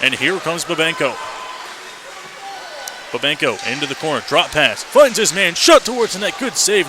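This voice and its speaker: A man doing live radio play-by-play commentary of a hockey game in clipped running phrases. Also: on the high side. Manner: clipped running phrases, excited shouts on big plays. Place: indoor ice hockey arena. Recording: noisy live arena broadcast.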